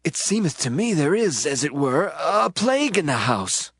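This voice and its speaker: Man acting a husky, worried householder. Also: on the high side, big pitch swings, moderate pace, fairly bright tone, no echo, studio quality, full character voice. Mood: worried, tentative. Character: worried male householder reporting trouble to a priest. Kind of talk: dramatized scripture reading.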